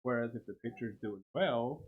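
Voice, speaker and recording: A man with a husky voice of average pitch chats as a guest co-commentator during a game. He speaks informally in loose, short phrases. The recording is dull and muffled. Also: moderate pace. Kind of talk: casual chat during a game.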